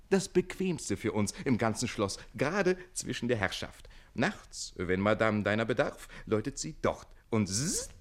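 Actor as a theatrical, quick-witted servant bridegroom. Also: male voice, mid-range voice, close to an everyday voice. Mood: eager, persuasive. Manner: theatrical, wry, ironic. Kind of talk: classic German radio play acting.

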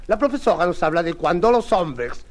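Excitable, high-voiced comic radio-serial crewman. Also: moderate pace. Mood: mocking. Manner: exclamatory, blustering. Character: excitable comic male crewman, grumbling and easily frightened. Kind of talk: 1950s radio serial acting. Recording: noisy vintage 1950s radio recording.

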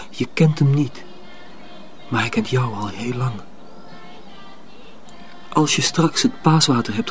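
A man's voice: medium pitch, natural voice. An actor playing an earnest, secretive young mill apprentice in a radio drama, protective of his younger comrade.

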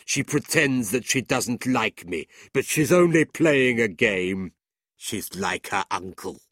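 A man's voice: mid-range voice, lively intonation, a bit bright, no room sound, clean studio sound. A man voicing a scornful, drunken aristocrat villain with a sneering drawl in a storybook reading. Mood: smug, mocking. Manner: slow, sneering, drawling delivery. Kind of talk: audiobook character voice.

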